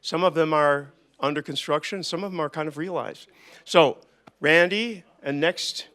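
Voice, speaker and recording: A man hosting a conference panel, introducing it in a relaxed way and spelling words out. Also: on the high side, normal speed. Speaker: panel host. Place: conference stage. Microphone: handheld microphone passed around.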